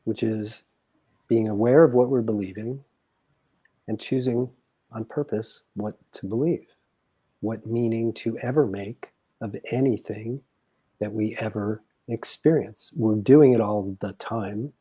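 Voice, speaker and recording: A man in a reflective coaching conversation, explaining things in measured, deliberate phrases. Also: fairly low pitch, dark tone, husky, poor audio.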